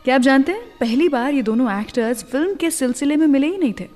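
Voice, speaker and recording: A woman radio host tells stories in a chatty, confiding manner, dropping English words into her sentences. Her voice sits a little high. It rings out with a long reverb, and soft music plays faintly underneath. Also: expressive, fast talker. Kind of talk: scripted radio storytelling.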